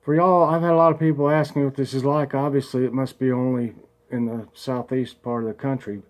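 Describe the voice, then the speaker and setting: A man's voice: medium pitch, flat delivery. Folksy man, congested voice. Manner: folksy, chatty, reads aloud slowly with pauses. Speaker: vlogger. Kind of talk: casual home vlog with prayer and devotional reading.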